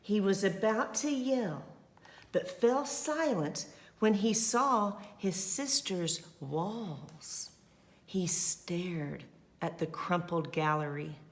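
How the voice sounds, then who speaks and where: A woman teacher reading a storybook aloud to children, expressive, with simple explanatory asides. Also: mid-range voice, takes it slowly.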